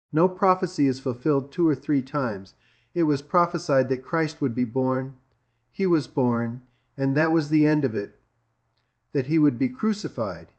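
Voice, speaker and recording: A man's voice: medium pitch, darkish tone. Emphatic preacher, man from Greece, dull recording. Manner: emphatic, repetitive, with rhetorical questions. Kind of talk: sermon.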